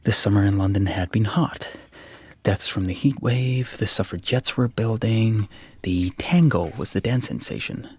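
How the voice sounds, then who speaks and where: An actor playing a jumpy, self-mocking young graduate student in a radio drama, husky and nervously muttering. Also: male voice, fairly low pitch, unhurried, darkish tone, no room sound. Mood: nervously wry. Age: young adult. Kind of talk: radio drama acting, interior monologue.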